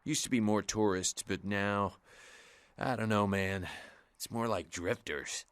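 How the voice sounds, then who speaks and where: An actor playing a nervous, spaced-out shop owner in a video game, rambling in a hesitant stoner drawl. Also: male voice, on the low side, fairly even intonation, a little slow, a bit bright, clear, resonant tone, no echo. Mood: sheepish, laid-back. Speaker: shopkeeper character in a video game.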